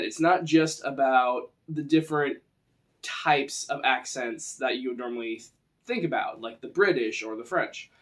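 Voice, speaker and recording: A man from the western United States with a Western American accent, presenting an informal, conversational lesson on tabletop roleplaying. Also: mid-range voice. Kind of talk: informal instructional talk.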